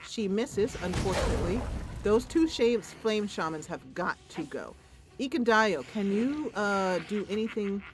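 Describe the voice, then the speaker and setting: A woman's voice: mid-range voice, expressive, unhurried, background noise. A woman doing casual gameplay commentary, thinking aloud playfully and talking to the game's characters. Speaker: host of a game playthrough.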